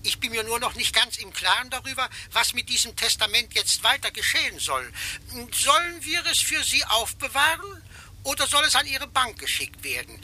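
An actor playing a fussy, overly polite solicitor who speaks with halting, insinuating courtesy in a radio drama. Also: male voice, extremely high pitch, very animated, sharp tone, heavily acted. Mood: smooth, insinuating. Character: fussy middle-aged male solicitor, smooth, insinuating. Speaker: lawyer on the phone. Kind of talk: German radio drama acting.

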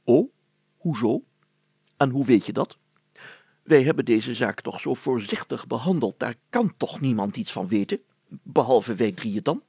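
A man voicing a pompous, fussy older village mayor in a storybook, formal, deliberate and full of hesitant hemming. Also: medium pitch, expressive, dark tone, breathy edge, no room sound. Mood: puzzled, probing.

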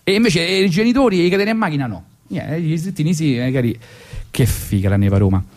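A man's voice: fairly high pitch, animated, fast talker, a little mellow. A man with a Roman accent, a radio host and comedian telling a rambling story with false starts and asides. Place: radio studio.

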